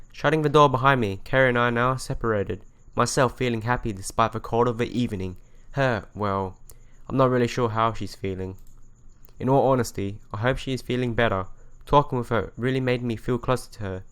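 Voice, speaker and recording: A man's voice: mid-range voice, moderate pace, a bit bright, clean tone. A man reading visual novel narration and dialogue aloud as a let's play host, stumbling over and rereading the odd phrase, with game music behind him.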